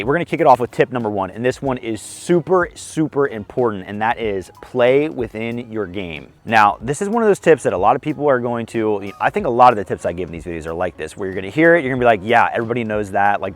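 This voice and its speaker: A young man with a slightly hoarse voice hosts a casual disc golf tips vlog outdoors on a course, friendly and self-deprecating as he explains. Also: medium pitch. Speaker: disc golf video host.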